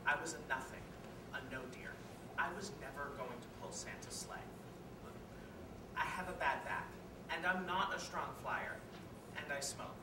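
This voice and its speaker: Woman doing a guilty bitter reindeer parent, dramatic monologue, quiet then loud, roomy echo and noisy recording. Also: fairly low pitch, animated, unhurried. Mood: downcast, defensive. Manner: confessional, swinging from hushed to shouting. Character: bitter, guilt-ridden reindeer parent, washed-up herd worker. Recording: echoey, distant, noisy live room recording.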